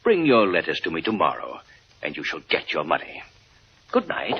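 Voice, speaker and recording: An actor playing a proud, wealthy colonel with a formal, curt manner, in 1950s radio drama style. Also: male voice, very deep voice, wildly swooping pitch, moderate pace, husky, full character voice. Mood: curt, indignant. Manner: formal, curt, stiffly dignified. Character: proud wealthy male colonel, family man, coldly indignant. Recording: muffled old radio broadcast, loud noise.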